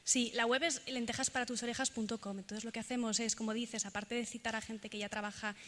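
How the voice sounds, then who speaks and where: Bright-voiced woman leading a workshop. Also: on the high side, fairly even intonation, quick pace. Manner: instructive, guiding a group. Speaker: workshop leader. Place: large hall. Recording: echoey hall, faint ambience.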